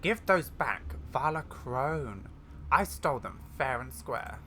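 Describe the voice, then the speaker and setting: A woman reads the part of a brash young female villain from a video game. She taunts and threatens with sneering sarcasm in a bright voice. The home recording has faint noise behind it. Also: very deep voice, sing-song, takes it slowly, exaggerated voice. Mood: sneering, threatening. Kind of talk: reading video game dialogue aloud.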